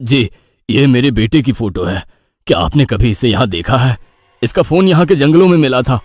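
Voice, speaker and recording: A man playing a protective middle-aged father in an animated horror story, flustered and emphatic. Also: mid-range voice, moderate pace, no echo, faint music behind, natural voice. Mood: anxious. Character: protective, agitated father of a grown son.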